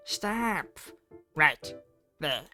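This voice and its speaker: A woman's voice: low-pitched, big pitch swings, drawn-out words, bright, forceful tone, faint music behind, heavily acted. A woman playing a bossy, exasperated student girl in a visual novel, blunt and scolding. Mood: teasing. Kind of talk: visual novel character dialogue.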